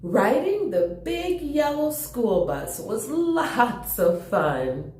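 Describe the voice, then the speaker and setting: A woman reading a children's story aloud with enthusiastic, expressive delivery and chatty asides to her young listeners. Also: on the high side, very slow. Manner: expressive, enthusiastic, chuckles often. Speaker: storytime reader. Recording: roomy, echoing room.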